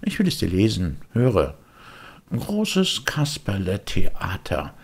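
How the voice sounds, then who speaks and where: Man doing a cheeky, high-pitched street boy. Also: huge pitch swings, slow, slightly rough, full character voice. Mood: mocking. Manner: teasing, scornful. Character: mocking, shrewd street boy in a storybook.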